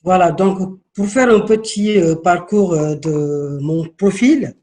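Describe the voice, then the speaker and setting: A man from Senegal in his thirties talks as an interview guest, earnest and storytelling, often stalling and repeating words. His voice sits a little high for a man. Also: takes it slowly, mellow.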